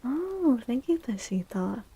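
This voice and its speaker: A woman hosting a soft-spoken ASMR tarot stream, talking gently and breathily, often near a whisper, with drawn-out delivery. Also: fairly high pitch, big pitch swings, breathy edge. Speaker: livestream host giving tarot readings.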